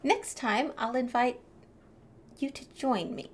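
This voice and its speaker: A woman's voice: a little high, slow, a little hiss or hum. A woman gaming streamer giving casual playthrough commentary and reading out dialogue choices.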